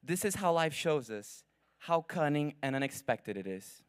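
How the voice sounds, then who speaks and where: A young man whose first language is Portuguese, giving an earnest, formal graduation speech from a podium at a ceremony, with measured pacing and dramatic pauses. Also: a little high, very animated, smooth, dry recording, studio quality. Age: young adult. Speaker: graduating student speaker. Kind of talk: prepared commencement speech.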